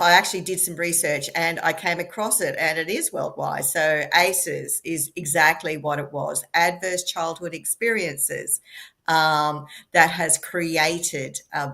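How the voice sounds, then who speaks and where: Deep gravelly woman guest, slow and measured, lots of ums. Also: a bit bright. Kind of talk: talk show conversation.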